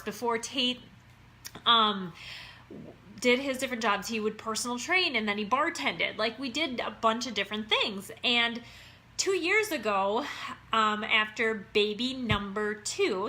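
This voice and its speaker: A woman's voice: a little high, sharp tone. A woman in her thirties from Minnesota with an Upper Midwest accent, an online wellness coach talking casually to her viewers in a chatty, upbeat way. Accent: Upper Midwest American. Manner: chatty and upbeat, keeps saying "you guys".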